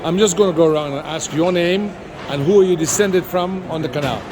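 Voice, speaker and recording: Enthusiastic male interviewer, slightly high. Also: brisk. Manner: enthusiastic, prompting questions. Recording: outdoor street noise.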